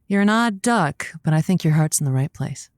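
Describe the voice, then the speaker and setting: A woman's voice: low-pitched, lively intonation, moderate pace, no echo, studio quality. A woman playing a feisty, folksy host of a lonely-hearts radio call-in show, with saucy turns of phrase. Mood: reassuring, saucy. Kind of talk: improvised comedic radio call-in hosting.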